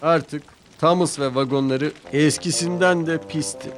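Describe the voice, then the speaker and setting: A man narrating a children's cartoon storybook-style, with clear and expressive delivery. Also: a little high, a little slow. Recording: music playing behind.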